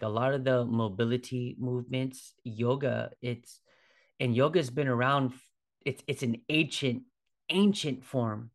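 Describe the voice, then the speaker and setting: A man in his forties talking casually as a podcast host, informal and conversational, often checking in with a "right?". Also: medium pitch. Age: 40s. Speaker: podcast host, fitness trainer. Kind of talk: casual solo podcast talk.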